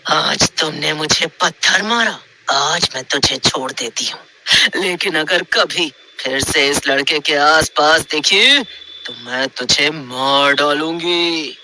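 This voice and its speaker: A menacing witch ghost from a horror tale, put on by a woman. The voice drops below natural talk into slow, deliberate threat, with a hard, piercing edge. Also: sits low, bright, forceful tone, a little hiss or hum, voice pushed down, full character voice. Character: menacing female witch ghost on a haunted horse.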